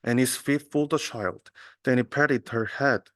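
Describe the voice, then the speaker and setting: A young man reads a storybook aloud in a medium-pitched voice. The recording is close and dry, and the delivery follows the verse. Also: moderate pace, fairly bright tone, no room sound. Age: young adult. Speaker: student reader.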